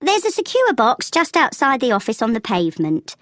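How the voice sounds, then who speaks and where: A woman playing a helpful, matter-of-fact travel agent in a scripted language-test dialogue, explaining things clearly. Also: fairly high pitch, wildly swooping pitch, a little fast, a bit bright, dry recording, studio quality. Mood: helpful, advising.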